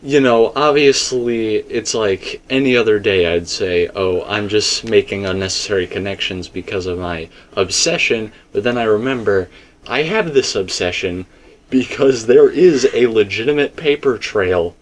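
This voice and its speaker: Low-voiced man in rambling, emphatic discussion. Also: normal speed. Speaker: video game theorist and host. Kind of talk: casual speculative discussion.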